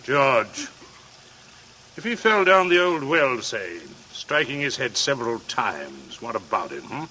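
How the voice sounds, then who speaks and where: A man's voice: mid-range voice, big pitch swings, measured pace, pushed and bright. An actor playing a pompous, domineering middle-aged English father in a 1940s radio drama, coldly scheming and exasperated. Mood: controlled, scheming. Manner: pompous, hectoring, imperious. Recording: noisy old radio drama recording.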